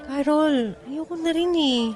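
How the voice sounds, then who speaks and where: A young woman in despair in a radio drama. Her voice is high, plaintive and pleading. She holds stubbornly to what she says while sounding unwanted and hurt. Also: a little slow, a little mellow, faint music behind. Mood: resolved, distressed. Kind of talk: Tagalog radio drama acting.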